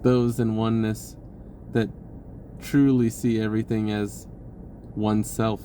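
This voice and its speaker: A man giving an unhurried, reflective spiritual talk with many pauses, his voice lifting when he acts out an inner dialogue. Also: fairly low pitch, little pitch movement, warm tone, slight background noise. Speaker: spiritual video host.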